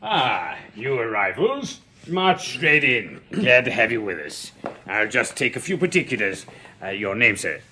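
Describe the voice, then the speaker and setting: An officious military record-keeper in a radio drama. His voice is bright and sharp, swinging widely in pitch, with a clipped, bureaucratic politeness. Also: big pitch swings, a little slow, slight background noise, full character voice. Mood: officious, welcoming. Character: fussy, officious, polite male colonel keeping records.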